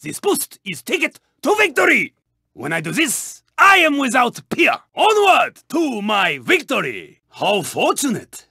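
An actor voicing a proud, intense, honour-bound racing car in a video game, with a Japanese accent, a raspy voice and clipped, formal delivery. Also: male voice, very high-pitched, huge pitch swings, a little slow, bright, forceful tone, no room sound, clean studio sound, heavily acted. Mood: confident, triumphant. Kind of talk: video game voice clips, short barks.

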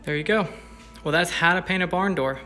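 A man's voice: high-pitched, brisk, pushed and bright. A young man casually walking through the steps of a woodworking job, plainly and step by step, with fillers, filmed on a phone in a large shop. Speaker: woodworker demonstrating. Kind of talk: casual how-to walkthrough. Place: large woodworking shop and spray booth, indoors and out. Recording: echoey shop with music behind.

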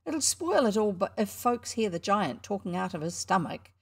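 A woman voicing a cocky young schoolboy showman, loud and indignant as he hawks his show. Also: mid-range voice, sing-song, normal speed, gravelly, breathy edge, full character voice. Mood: boastful.

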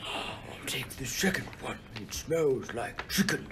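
A man's voice: mid-range voice, lively intonation, slow pace. A man playing Zeus as a pompous, vindictive king of the gods in an amateur radio play. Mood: calm. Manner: pompous, scornful pronouncements. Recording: faint background noise.

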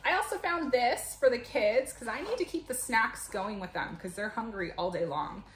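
A woman's voice: a little high, lively intonation, normal speed. A woman in her thirties chatting casually and explaining things to camera as a home vlogger. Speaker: vlogger, mother showing a grocery haul. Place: home kitchen, indoors. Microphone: camera a few feet away. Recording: echoey kitchen, noisy, clicks and rustling.

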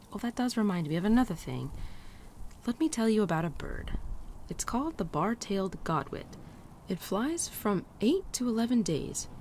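A woman acts out a gentle female winter spirit, an affectionate old friend with a low voice. She speaks softly and playfully in an intimate ASMR roleplay, often slipping toward a whisper. Birdsong and a light outdoor ambience sit behind her. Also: a little hiss or hum. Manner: soft, tender, playful, often dropping to near-whisper. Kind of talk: girlfriend ASMR roleplay, softly acted monologue.

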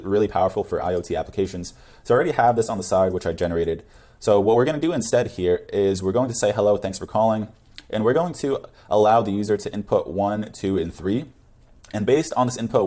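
Young adult man, lowish pitch, very quick pace, casual tutorial presenter. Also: a little mellow. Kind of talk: casual screencast coding tutorial.

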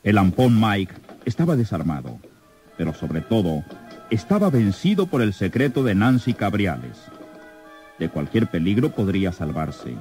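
Very deep male radio drama narrator. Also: a little mellow. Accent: Mexican. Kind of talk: scripted dramatic Mexican radio serial narration.

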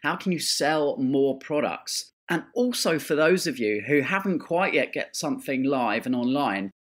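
A man's voice: medium pitch, animated, moderate pace, fairly bright tone. A man hosting a business podcast, reading an enthusiastic introduction and asking interview questions. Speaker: podcast host.

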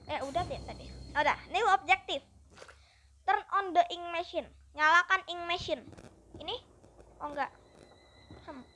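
A gaming YouTuber giving upbeat, chatty let's-play commentary and constantly addressing the viewers. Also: female voice, extremely high pitch, sharp tone.

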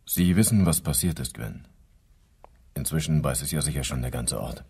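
Inquisitive police inspector, radio crime drama. Bass-deep, raspy husk, clipped and direct. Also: male voice, moderate pace, mellow, heavily acted. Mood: pressing. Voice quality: husky. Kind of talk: German crime radio play acting.

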